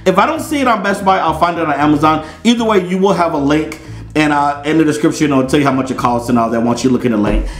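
A man's voice: a little high, fast talker, faint music behind. A middle-aged man with an American accent hosting a casual, comedic unboxing in a playful, theatrical way. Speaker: unboxing host. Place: small indoor studio set. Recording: roomy, echoey indoor sound.

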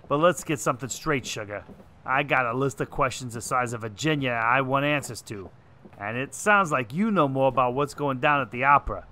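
A man's voice: mid-range voice, sharp tone, close to an everyday voice. A man playing a tough-talking, wry 1940s private detective in a noir radio drama, with clipped slangy wisecracks. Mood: threatening, cocky. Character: gruff hard-boiled 1940s private detective, wry and threatening. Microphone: close desk microphone with headset.

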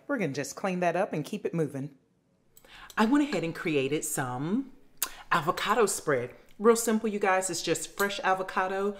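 Woman, middle-aged, low pitch, chatty home-kitchen cooking host. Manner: chatty, addresses viewers as fam. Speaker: cooking vlogger. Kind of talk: casual cooking demonstration. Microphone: camera facing her at counter.

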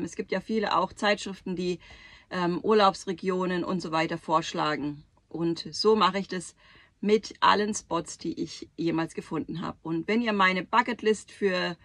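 A woman's voice: medium pitch, a bit bright, no room sound. A woman in her thirties, a travel vlogger talking to camera from inside a camper van, explaining step by step how she plans trips. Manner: clear, instructive, lists items one by one. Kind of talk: explanatory vlog talk to camera. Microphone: camera at close range across a table.

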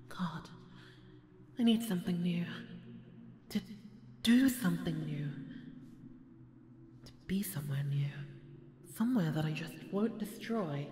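A woman voicing a bored, volatile, haughty goddess in an ASMR audio roleplay, drawling and slipping into breathy murmurs. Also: low-pitched, mellow, slight background noise. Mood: bored, frustrated. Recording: echoey hall reverb with music behind.

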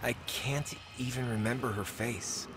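A man's voice: mid-range voice, fairly even intonation, a little slow, a bit bright, a little hiss or hum, lightly acted. A man playing a haunted, wry young space warrior in a video game, with a dry, brooding delivery. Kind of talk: scripted video game acting.

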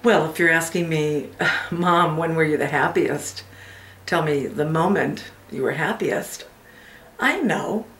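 Wistful mother, played by an actress, hushed, halting, reflective stage monologue, echoey room. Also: sits low, exaggerated voice. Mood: wistful, tender. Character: wistful older mother recalling her lost moment of happiness. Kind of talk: dramatic audition monologue.

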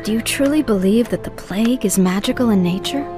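Woman as young mage apprentice, fantasy game, mid-pitched, respectful, earnest, music behind. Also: a little fast, close to an everyday voice. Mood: earnest, dutiful.

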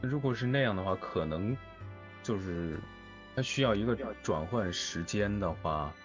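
A man who voice-acts in audio dramas, chatting casually in an interview in a hesitant, thoughtful, halting way. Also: fairly low pitch, lively intonation. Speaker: amateur audio drama voice actor being interviewed. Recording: dull lo-fi voice chat with music. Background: music.

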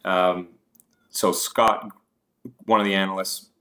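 A man's voice: low-pitched, level tone, slow, sharp tone. A man presenting investing slides as a host, explaining points informally with hesitant asides.

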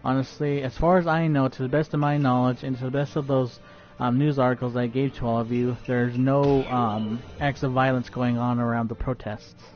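A man's voice: mid-range voice, fairly even intonation, normal speed, hardly any pauses, soft background music. A man live streaming while he plays a game, talking casually and stuttering often with many fillers and restarts. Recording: dull lo-fi stream audio.

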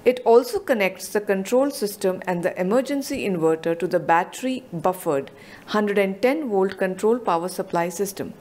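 A woman reads a scripted technical narration with a measured, explanatory delivery. Her voice sits at a middle pitch. It is a clean studio voice-over read. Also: darkish tone.

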